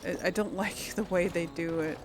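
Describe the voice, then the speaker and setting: A woman's voice: on the low side, fairly even intonation, normal speed, over music. A woman giving casual video game commentary as a host, chatty and hesitant as she thinks aloud.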